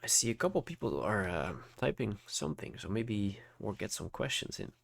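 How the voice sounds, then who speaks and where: Man, lowish voice, slightly stuffed-up nose, livestream teacher, informal explanations, frequent check-in questions. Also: big pitch swings, normal speed, creaky. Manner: informal, often checks understanding with "right?". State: congested from hay fever. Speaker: trading livestream host and teacher. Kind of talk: casual live tutorial with chat replies. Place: voice-over on an online livestream.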